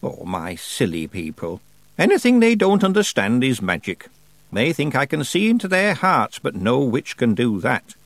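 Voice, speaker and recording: Storybook: a man doing a very old sly witch woman, raised pitch, slow lofty drawl, very dry close sound. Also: very animated, no echo. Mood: scornful. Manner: slow, drawn-out, lofty delivery. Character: ancient stern female witch, sly and dry-humored.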